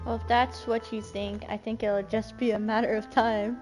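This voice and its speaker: A woman streaming a game, reacting casually in a playful, excitable way. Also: a little high, normal speed. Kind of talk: casual live gameplay reactions. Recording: game music behind the voice.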